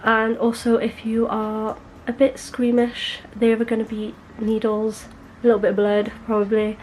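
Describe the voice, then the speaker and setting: A woman's voice: on the high side, completely flat delivery, moderate pace, darkish tone, slight background noise, rough recording. A young woman, chatting to camera in a casual vlog, bubbly and rambling, correcting herself as she goes. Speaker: beauty vlogger.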